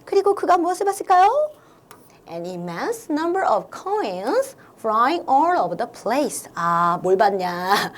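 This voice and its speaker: A young woman with a Korean accent, teaching English by explaining a fable line by line, reading the English sentences slowly aloud. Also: mid-range voice. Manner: lively, animated, with slow read-aloud English sentences.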